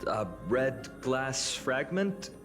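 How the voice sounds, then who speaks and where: A man playing a calm, curious young traveling companion in a video game, speaking in a measured, pondering way. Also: medium pitch. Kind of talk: scripted video game character acting. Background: background music.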